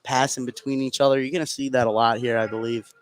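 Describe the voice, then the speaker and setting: A man's voice: mid-range voice, level tone, fast talker, clean tone. A man working as color commentator, giving conversational analysis during a live basketball game. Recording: noisy live gym broadcast.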